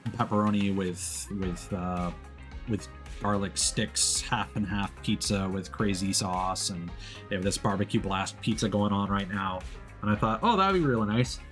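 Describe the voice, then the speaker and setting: Low-voiced man chatting on stream over music. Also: a bit bright. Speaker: live streamer. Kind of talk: casual stream chat. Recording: music playing behind the voice.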